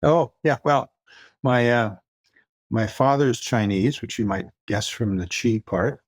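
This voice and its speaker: A man's voice: medium pitch, measured pace, breathy edge, no room sound. An older man from the Far East, first language Mandarin, talking reflectively as a guest author in a podcast interview, searching for words with light laughs.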